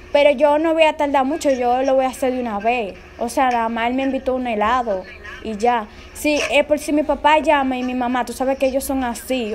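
High-pitched, anxious young woman. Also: a little mellow, a little hiss or hum. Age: young adult. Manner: pleading, anxious-sounding. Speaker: prank caller, vlogger. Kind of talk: casual phone chat, feigning nervous worry. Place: indoors in a bare-walled room.

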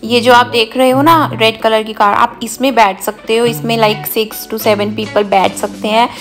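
A woman's voice: a little high, little pitch movement, measured pace, fairly bright tone, soft background music. A woman narrating a walking tour vlog in a casual, chatty way, listing sights and shops. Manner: casual, listing places and prices. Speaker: vlogger.

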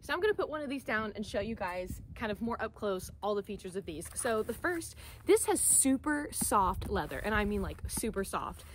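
A woman's voice: mid-range voice, very animated, normal speed. A young American woman talking enthusiastically and emphatically, in the casual, chatty style of a vlogger reviewing products to camera. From Central Florida, United States. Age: young adult. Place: outdoors, by a wooden wall and open field. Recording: noisy outdoor camera audio.

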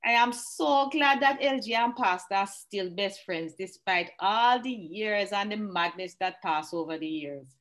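A woman's voice: mid-range voice, unhurried, few pauses, a bit bright, natural voice. A woman playing a feisty, independent elderly farm woman with a Jamaican accent in a radio drama, firm and teasing. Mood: matter-of-fact.

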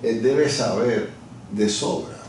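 A man's voice: mid-range voice, takes it slowly, a little mellow, a little hiss or hum. A middle-aged man being interviewed in an office, explaining his views thoughtfully and often searching for words with restarts. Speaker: interview guest, lawyer and communications director. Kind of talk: reflective conversational explanation. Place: office, seated at a desk. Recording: echoey room from far off.

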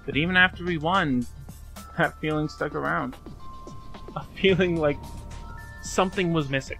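A man's voice: fairly high pitch, moderate pace, creaky, pushed and bright, low-quality recording. A man playing an anguished, self-doubting young man in a fan audio drama, rambling and self-deprecating as he confides in a friend. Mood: uneasy, subdued. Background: music.

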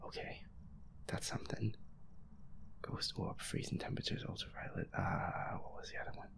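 A gamer with a breathy, whispery voice, nervously muttering to themself while playing through a horror game. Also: moderate pace. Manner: nervous, muttering to self, reluctant. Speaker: solo gamer. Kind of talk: hushed let's-play commentary of a horror game.

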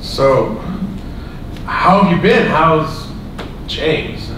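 A man, middle-aged, playing an awkward, wistful man meeting an old flame in a halting stage delivery. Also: lively intonation. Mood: awkward, eager. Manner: halting, hesitant. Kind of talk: live stage radio play acting. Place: on stage in a small auditorium with audience, far camera. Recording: roomy hall, far from mic, clear rumbling noise.

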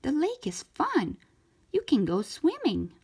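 A woman voicing an eager, friendly young boy in a children's storybook, encouraging and excited. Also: sits high, slow pace, gravelly, voice pushed up, heavily acted.

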